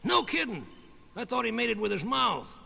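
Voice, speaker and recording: A very creaky, high puppet voice, comes through a reverberant old children's record. The little character sounds naive and piercing. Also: male voice, very animated, moderate pace, pushed and bright, slight background noise, heavily acted. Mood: amazed.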